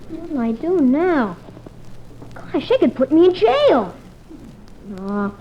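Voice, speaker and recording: Casting: bright-eyed schoolboy schemer, high swooping voice, boyish slang, radio comedy-drama, muffled vintage recording. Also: slight background noise, exaggerated voice. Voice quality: high, youthful voice. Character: eager, imaginative young boy sick in bed, anxious schemer.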